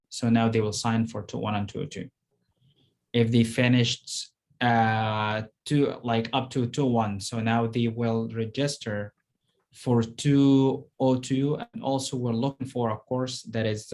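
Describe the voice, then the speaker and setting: A man's voice: medium pitch, darkish tone. A man, a professor, explaining course details, hesitant and searching for words.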